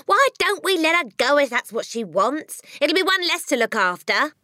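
A woman reading an audiobook voices a careless middle-aged mother, brisk and offhand. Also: high-pitched, sing-song, pushed and bright, dry recording, clean studio sound, voice pushed up, full character voice.

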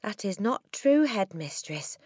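A woman voicing a gentle but firm schoolteacher in a storybook, with a measured, earnest way of speaking. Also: mid-range voice, sing-song, husky, no room sound, studio quality, pitched above the performer's own voice. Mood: firm, defiant.

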